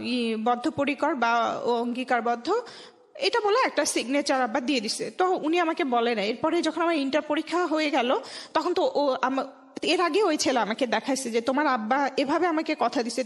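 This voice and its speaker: A woman's voice: high voice, normal speed. A woman with a Bangladeshi accent telling a personal story conversationally as a radio guest. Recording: long hall-like reverb.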